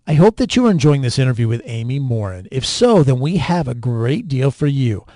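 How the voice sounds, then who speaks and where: A man's voice: medium pitch, very animated, brisk, dark tone, no room sound, clean studio sound. A man hosting an interview podcast in a conversational style.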